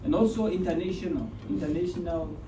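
A man's voice: fairly high pitch, fast, noisy background. Emphatic man, far off in a roomy office. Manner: emphatic, repeats points. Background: music.

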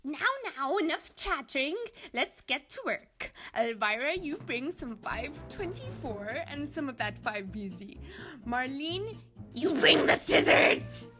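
A woman voicing a grand, commanding, menacing female salon boss in a cartoon, with drawn-out theatrical delivery. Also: high voice, very animated, over music. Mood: bossy, gleeful. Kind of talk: animated cartoon voice acting.

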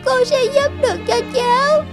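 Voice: extremely high pitch, fairly even intonation, normal speed. A performer voicing a distressed young girl pleading about her family in a cartoon dub. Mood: anxious pleading. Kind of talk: cartoon dubbing. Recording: loud children's music behind the voice.

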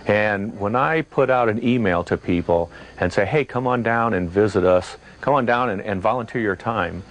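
A man speaking as an interview guest, in a conversational, somewhat halting way. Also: on the low side, low-quality recording. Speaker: volunteer caving project leader, interview guest.

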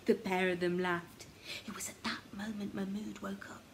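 A young woman reads a children's story in an expressive, theatrical way, often dropping to a hush, recorded in a small room at home. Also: medium pitch, normal speed, gravelly. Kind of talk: animated children's story reading. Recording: noticeable background noise, home recording.

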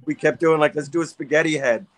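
A man's voice: fairly high pitch, fast talker. A middle-aged man chatting eagerly on a video call, rambling with lots of fillers as he asks a fellow artist questions. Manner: enthusiastic, rambling, filler-heavy. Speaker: guest artist. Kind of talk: casual video-call interview. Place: home room with arcade cabinet.

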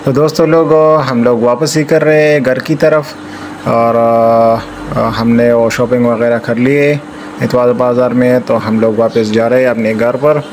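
A young man from Pakistan narrating a casual vlog at an outdoor market, talking in a halting way with many fillers and pauses. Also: medium pitch, steady tone, a little mellow, a little hiss or hum. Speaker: vlogger. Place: outdoor market, then indoors at end. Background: background music.

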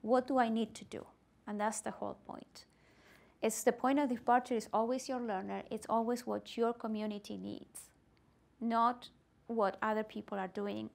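A woman's voice: a little high, steady tone, normal speed, creaky. A middle-aged woman presenting at a teacher workshop, talking animatedly and with emphasis in a meeting room. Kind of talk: workshop discussion.

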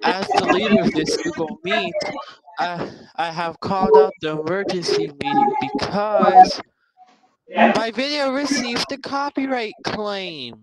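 A man hosting an online meeting, making formal announcements in a halting, repetitive way on a video call. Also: high-pitched, big pitch swings, over a noisy crowd, poor audio. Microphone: video call microphone.

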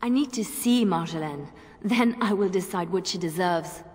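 Low-voiced, guarded young woman bard. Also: moderate pace, darkish tone, husky, dry recording. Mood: firm, defiant. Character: young female bard, wounded, guarded and resolute. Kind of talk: dramatic game dialogue. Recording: faint background noise.

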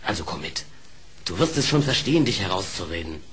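An actor playing a loyal badger in a radio play, pleading respectfully and persuasively. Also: male voice, low voice, very animated, a little fast, a bit bright, slight background noise. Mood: urgent, admonishing. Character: loyal, earnest male badger, pleading nephew. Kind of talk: German radio play acting.

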